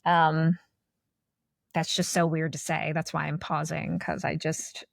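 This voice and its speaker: Woman in her forties, podcast co-host, candid rambling chat, lowish voice, dry sound. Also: level tone, a little slow, vocal fry, dry recording. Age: 40s. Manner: rambling, candid, restarts often.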